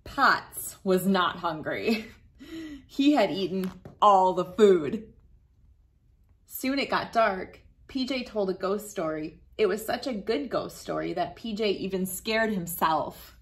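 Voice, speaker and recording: A woman in her thirties reading a picture book aloud to children, gentle and playful, laughing now and then, recorded on a phone camera indoors. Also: medium pitch, lively intonation, slow. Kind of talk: read-aloud storytime for kids. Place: bright room indoors.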